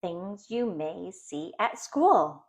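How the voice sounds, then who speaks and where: A woman reads a rhyming picture book aloud for young children. Her voice sits slightly low, and she takes her time, phrasing each line deliberately and expressively. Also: sing-song. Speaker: storybook reader.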